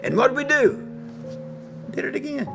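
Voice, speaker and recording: An older man telling a personal story in a folksy, animated way, laughing often and repeating "you know what I'm saying.". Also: extremely high pitch, normal speed, creaky. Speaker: storyteller, preacher. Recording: music bed underneath.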